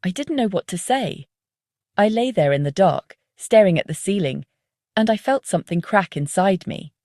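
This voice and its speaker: A woman reading a scripted first-person story as the narrator of a dark psychological tale. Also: medium pitch, lively intonation, moderate pace, dry recording, studio quality.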